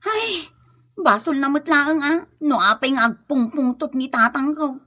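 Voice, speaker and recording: Actress as exasperated nagging wife, high sharp voice, radio drama. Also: female voice, a little slow. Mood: complaining. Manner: scolding, insistent, sharp. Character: Rona, exasperated young wife scolding her husband. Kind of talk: Ilocano radio comedy drama acting.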